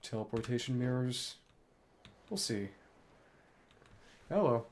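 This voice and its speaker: A man's voice: medium pitch, lively intonation, takes it slowly. A man streaming a game, musing to himself in short asides and reading dialogue aloud in a casual way. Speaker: gaming streamer.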